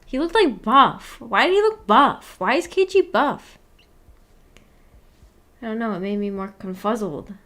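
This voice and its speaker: High-voiced woman streamer, giggly and rambling. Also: expressive, unhurried, long pauses, bright, forceful tone. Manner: giggly, laughs often while talking; rambling, self-questioning, puzzled. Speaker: streamer hosting a visual novel book club. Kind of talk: casual livestream chat reacting to a story.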